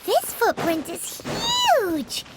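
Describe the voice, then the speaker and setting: Squeaky, very high childlike game fairy. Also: female voice, very slow, heavy background noise, heavily acted. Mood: excited, investigating. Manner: exaggerated, cutesy, expressive. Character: tiny childlike floating fairy companion, cheeky, eager, boastful. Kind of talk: video game voice acting. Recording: loud game music behind.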